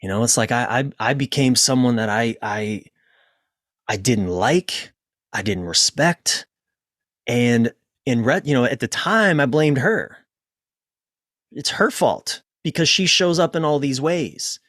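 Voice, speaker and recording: A man speaking as a podcast guest and relationship coach, telling personal stories in an informal, emphatic, sometimes halting way with casual swearing. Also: mid-range voice, animated, a bit bright, dry recording, studio quality.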